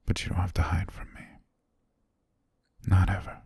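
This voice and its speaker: A man playing a calm, possessive governor soothing his pet in an ASMR roleplay, breathy and half-whispered, slow, gentle and softly commanding, very close to the mic. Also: low-pitched, no echo, exaggerated voice. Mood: soothing, coaxing. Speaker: ASMR voice actor. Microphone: very close, dry microphone.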